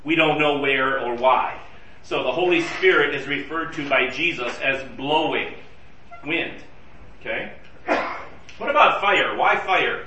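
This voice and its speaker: A man's voice: on the high side, expressive, measured pace, sharp tone, a little hiss or hum. A man teaching a Bible study class in a conversational way, asking his group questions. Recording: roomy and distant from the mic.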